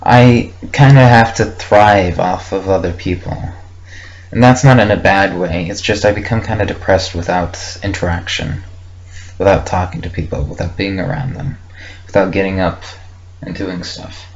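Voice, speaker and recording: Low-voiced young man rambling, muffled recording. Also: steady tone, moderate pace. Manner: hesitant, reflective, thinking aloud in short fragments. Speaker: vlogger recording a personal audio log. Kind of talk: casual unscripted rambling monologue. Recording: muffled lo-fi with faint background noise.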